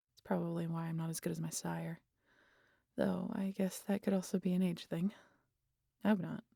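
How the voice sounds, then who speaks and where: A woman playing a wry, guarded vampire who teases and confides in an intimate audio roleplay, casual and sweary, often sinking to a breathy near-whisper. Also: on the low side, dark tone, dry recording, clean studio sound. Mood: wry, confiding. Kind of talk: intimate audio roleplay monologue addressed to the listener.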